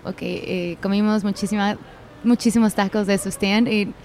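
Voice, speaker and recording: A woman hosting a casual podcast, upbeat and quick to laugh, with slang. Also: medium pitch, steady tone, darkish tone, a little hiss or hum. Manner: upbeat, laughs often, uses Mexican slang. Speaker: podcast host.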